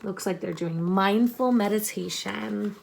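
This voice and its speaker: A woman teacher reading a picture book aloud to children, expressive and instructive, pausing to explain and ask questions. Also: mid-range voice, creaky, background noise. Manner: deliberate, instructive, addressing young listeners with questions.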